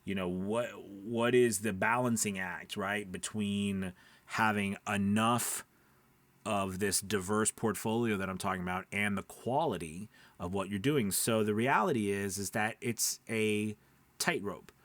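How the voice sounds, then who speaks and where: A man with a fairly low voice speaks in a dry, close recording. He teaches informally like a coach, leaning on key points, restating them and tagging phrases with little check-ins. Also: steady tone, unhurried, a bit bright, no echo. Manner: emphatic, repeats points, checks in with "right?". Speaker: voice-over coach and platform owner. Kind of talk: informal instructional talk.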